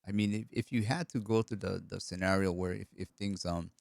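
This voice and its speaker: A man's voice: deep voice, fairly even intonation, fairly quick, gravelly, a little mellow, no room sound, studio quality. A middle-aged man from Hawaii with a cold, hosting a live stream, chatting loosely and answering viewer comments while he repeats his words. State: hoarse with a cold.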